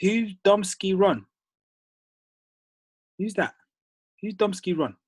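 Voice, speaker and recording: A man's voice: high voice, slow, a little mellow, breathy edge, no echo, clean studio sound. A man hosting a group call, reading names and firing up his team in a casual, motivational way. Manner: casual, calls people bro and dude.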